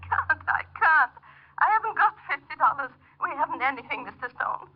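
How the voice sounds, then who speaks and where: High-pitched, tearful, desperate wife. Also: female voice, sing-song, brisk. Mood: distraught. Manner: pleading, faltering. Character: distraught, helpless, penniless wife of an old actor. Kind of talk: 1950s radio melodrama acting. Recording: muffled old radio recording.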